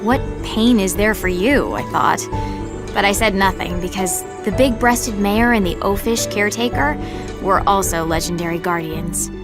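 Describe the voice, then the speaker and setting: A woman playing a sarcastic, dismissive teenage envoy in a game audio drama. She speaks at a middle pitch, with clear music running behind her. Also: normal speed, lightly acted. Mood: narrating. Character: sharp-tongued teenage girl council envoy. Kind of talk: game audio drama voice acting, with inner narration. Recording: voice over music.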